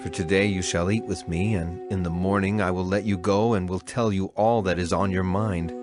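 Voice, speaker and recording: A man's voice: deep voice, steady tone, normal speed, lightly acted. An actor playing a stern, authoritative male prophet in a dramatized scripture, solemn and declamatory. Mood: assured, solemn. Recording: music behind the voice.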